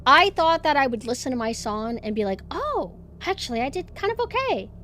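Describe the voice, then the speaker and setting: Monotone woman streamer chatting. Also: high-pitched, a little fast, slight background noise. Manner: rambling, self-deprecating, many fillers and restarts. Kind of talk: casual chat.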